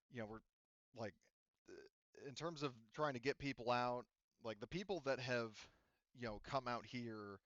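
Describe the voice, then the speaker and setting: A man running a tabletop roleplaying game, explaining things casually in a halting, self-correcting way as game master. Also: mid-range voice, fairly bright tone, no room sound. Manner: halting, self-correcting, frequent "you know". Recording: dull, lo-fi voice audio.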